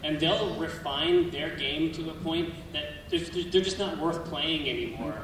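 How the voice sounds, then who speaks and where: A man's voice: fairly high pitch, expressive, unhurried, a bit bright, slight background noise. A man on a convention panel answering fans' questions with wry jokes, often restarting his sentences. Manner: joking, self-deprecating, stumbles over words. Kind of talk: casual panel Q&A. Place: large convention hall. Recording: distant echoey hall with crowd.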